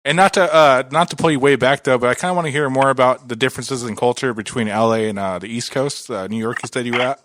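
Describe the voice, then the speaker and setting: A man's voice: mid-range voice, fast, hardly any pauses, a bit bright. A man in his thirties hosting a casual interview, laid-back, with short agreeing responses. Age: 30s. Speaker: podcast host.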